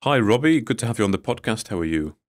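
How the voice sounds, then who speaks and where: A man hosting an investor podcast, welcoming his guest and co-host in friendly, slightly halting patter. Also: lowish voice, animated, dry recording, studio quality.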